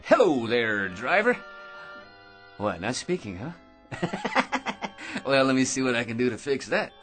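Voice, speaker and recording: An actor playing an excitable, self-important eccentric in a radio drama, rambling and stammering through grand schemes with theatrical delivery. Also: male voice, mid-range voice, slow, music in the background. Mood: cheerfully bossy.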